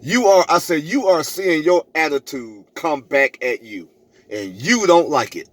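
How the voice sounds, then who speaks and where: A man's voice: fairly high pitch, lively intonation, unhurried, no echo. A middle-aged man vlogging a personal story in an emphatic, preachy way, repeating phrases for effect and acting out both sides of conversations. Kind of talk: animated storytelling to camera, re-enacting arguments.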